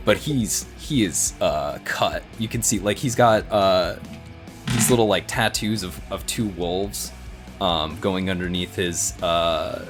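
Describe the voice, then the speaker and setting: A man with a low voice narrates a tabletop game as a player. He improvises casually, halting and doubling back on words. Faint music sits behind him. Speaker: player in a tabletop roleplaying show.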